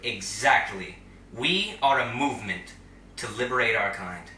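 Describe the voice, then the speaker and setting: A man's voice: fairly low pitch, sharp tone, a little hiss or hum. Roomy, piercing, smug superhero leader, acted by a man. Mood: grandiose, taunting. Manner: taunting, grandiose, drawn-out showy delivery. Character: smug, theatrical male leader of a superhero group. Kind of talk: audio drama acting. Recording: roomy, slightly distant microphone.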